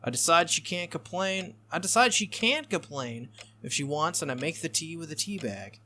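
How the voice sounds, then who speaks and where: Bright-voiced man reading a visual novel aloud. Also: fairly high pitch, animated, moderate pace, slight background noise. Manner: stumbles over lines and corrects himself. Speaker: playthrough host.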